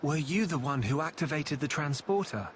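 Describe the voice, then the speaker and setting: A man's voice: on the high side, animated, normal speed, slight background noise, lightly acted. A man playing an earnest, thoughtful young adventurer in a video game. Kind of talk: video game cutscene acting.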